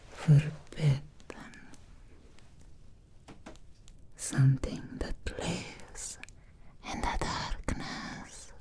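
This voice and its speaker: A woman playing an obsessed, seductive Victorian vampire in an ASMR roleplay, whispering slowly and intimately with tender menace. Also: sits low, a little hiss or hum. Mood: teasing menace. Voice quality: breathy soft whisper. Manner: slow, deliberate, intimate, teasing with drawn-out pauses.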